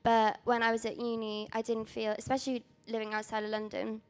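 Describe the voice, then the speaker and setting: A woman's voice: on the high side, completely flat delivery, fairly quick, a little mellow, clean tone. A young woman in her twenties, a native Welsh speaker, presenting her magazine at a panel talk in a casual, rambling way full of ums and restarts. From Wales. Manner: casual, rambling with many ums and self-corrections. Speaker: magazine founder giving a talk.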